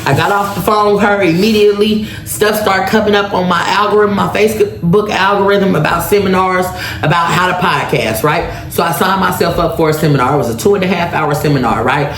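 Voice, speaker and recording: A woman's voice: lowish voice, brisk, few pauses. Chatty woman in her thirties, echoey room. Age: 30s. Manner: chatty storytelling that keeps turning to the listeners with "right?" and "y'all". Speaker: podcast host. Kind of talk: casual solo podcast monologue. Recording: echoey room with faint background noise.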